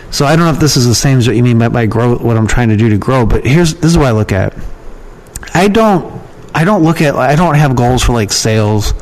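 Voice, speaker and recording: A man's voice: medium pitch, darkish tone, poor audio. A man giving casual, rambling and frank answers as an opinionated guest in an interview. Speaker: podcast guest, email marketer and copywriting trainer.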